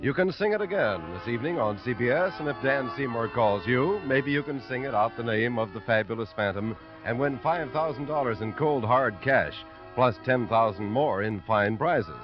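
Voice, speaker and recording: A man's voice: mid-range voice, normal speed, hardly any pauses. An American man announcing for network radio in a polished, promotional 1950s style. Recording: muffled vintage radio recording with music.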